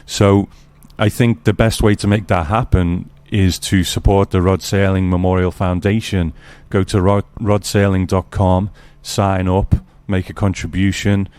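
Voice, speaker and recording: A man's voice: low-pitched, level tone, unhurried, few pauses, darkish tone, clean tone, no room sound. A man hosting a podcast, talking in a casual, conversational way. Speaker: podcast host. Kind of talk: casual chat.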